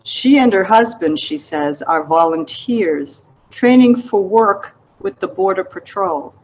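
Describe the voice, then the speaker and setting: Woman author reading her own memoir, really slow n careful, kinda reflective, mid pitch. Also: lively intonation, rough recording. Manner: measured, deliberate, reflective. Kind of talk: literary memoir narration, read aloud.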